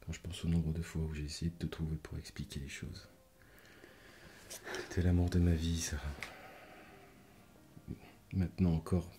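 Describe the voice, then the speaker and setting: A man playing a middle-aged hospital doctor still wounded by an old breakup, in a hushed ASMR roleplay. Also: rumbling low, little pitch movement, moderate pace, pauses often. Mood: tender, earnest. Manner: hushed, whispery speech. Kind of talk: French ASMR roleplay acting.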